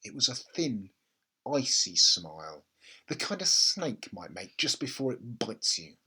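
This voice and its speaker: Slow, very expressive storybook reader. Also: mid-range voice, slightly rough. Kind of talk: expressive children's audiobook narration.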